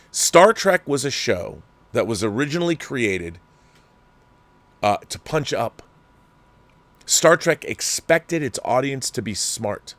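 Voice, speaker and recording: A man hosting a show, ranting in heated, profane commentary and reading viewer comments. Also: no room sound. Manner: heated, profane, lively pitch swings. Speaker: show host.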